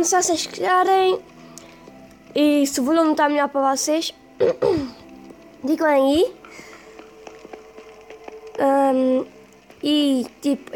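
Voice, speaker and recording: A woman with a European Portuguese accent, hoarse today, rambling casually through a game playthrough. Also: sits high. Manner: rambling, hesitant. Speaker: gaming youtuber. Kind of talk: casual let's play commentary. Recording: voice over game music.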